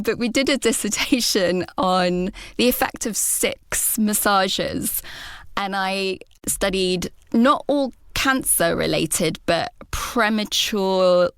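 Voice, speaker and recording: A woman hosting an interview who talks in a thoughtful, personal way, with hesitations and soft laughs. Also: mid-range voice, clear, resonant tone, no room sound, studio quality. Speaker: host. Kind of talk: reflective conversational interview talk.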